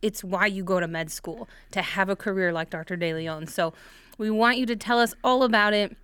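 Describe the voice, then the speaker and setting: A woman's voice: mid-range voice, moderate pace, a bit bright, clear, resonant tone, no echo. A young adult woman co-hosting a casual interview podcast, talking about her guest with enthusiasm and admiration. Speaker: podcast co-host, medical student.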